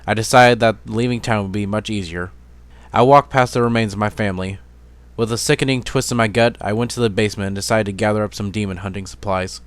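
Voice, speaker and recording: A man with a fairly low, smooth voice reads a fantasy story aloud as an amateur narrator. It is a scripted dramatic reading, recorded dry with no room sound. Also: fairly even intonation, fast talker, no room sound.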